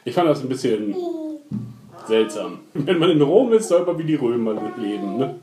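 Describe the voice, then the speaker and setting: A man's voice: medium pitch, sing-song, moderate pace, dark tone, soft background music. A man recapping a TV episode in casual chat, wry and ironic, retelling scenes in mock quotes. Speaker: podcast co-host. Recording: echoey room with faint noise.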